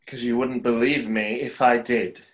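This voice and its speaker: A man's voice: mid-range voice, steady tone, normal speed, close to an everyday voice, poor audio. A young man playing a skeptical stage conjurer in an online play reading, with a dry, sardonic delivery. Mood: guarded. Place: small room at home, video call.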